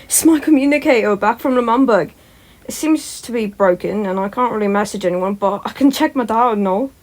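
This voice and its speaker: A woman acts out a brash teenage boy from a fantasy story. He is energetic and boastful, a rambler who talks with youthful bluster. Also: fairly high pitch, fairly quick, lightly acted. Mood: excited. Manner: boastful, rambling, loud when excited. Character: brash, energetic sixteen-year-old winged boy.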